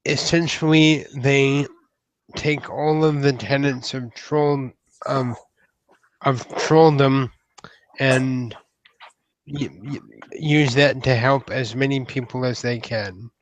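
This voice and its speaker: A man playing an earnest, polite young troll newcomer in an improvised roleplay, speaking haltingly in rather formal words. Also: mid-range voice, fairly even intonation, natural voice, rough recording. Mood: earnest, careful. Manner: hesitant, halting, formal wording. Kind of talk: improvised in-character roleplay dialogue.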